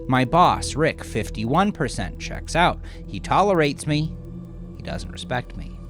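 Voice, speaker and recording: Male narrator, dramatic first-person horror. Confessional and brooding, widely varied pitch, clear music bed underneath. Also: lively intonation, a little slow. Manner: confessional, brooding, often hushed to a near-whisper. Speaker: author and narrator of horror story.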